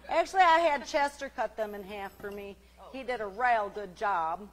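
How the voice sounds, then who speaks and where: An actress playing a folksy, chatty cooking-show host who rambles homespun and cheerful. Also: female voice, mid-range voice, normal speed, lightly acted. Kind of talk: comic cooking segment.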